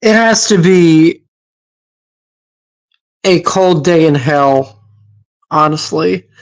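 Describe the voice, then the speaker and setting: A woman's voice: low-pitched, extremely slow, rough recording. A woman from Massachusetts hosting a livestream, reacting to clips with sarcastic, scolding commentary and frequent hesitations.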